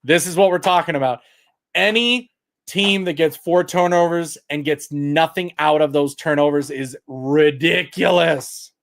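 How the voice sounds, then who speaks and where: Loud, exasperated male sports talk host. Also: on the high side, unhurried. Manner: loud projecting voice, heated and exasperated; reads stats aloud to chat. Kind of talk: post-game livestream commentary.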